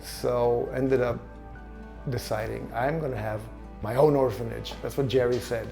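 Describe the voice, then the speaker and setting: An older man from Chile who runs an orphanage, speaking in a halting, earnest way in a documentary interview. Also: mid-range voice, steady tone, gravelly, dark tone, faint music behind. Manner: halting, earnest, searching for words. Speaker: orphanage director.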